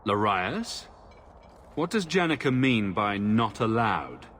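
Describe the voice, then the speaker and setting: A man's voice: on the low side, big pitch swings, slow, fairly bright tone, a little hiss or hum, heavily acted. An actor playing a wary, defiant male hero in a fantasy game, questioning those around him. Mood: suspicious.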